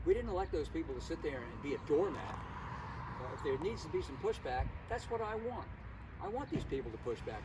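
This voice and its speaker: Uomo americano anziano, voce piuttosto alta, registrato all'aperto. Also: male voice, a little high. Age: older, 60s or above. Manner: emphatic, deliberate, opinionated. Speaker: concerned local citizen at a protest. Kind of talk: impassioned informal public speaking. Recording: outdoor, distant mic, loud traffic noise. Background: vehicles and outdoor ambience.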